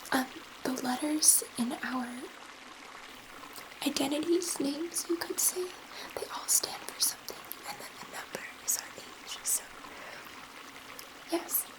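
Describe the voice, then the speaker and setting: Woman playing a whispering, gentle alien scientist. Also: sits high, poor audio. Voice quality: soft, breathy whisper. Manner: unhurried, reassuring, hesitant, murmuring to one listener. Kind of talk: ASMR roleplay, whispered.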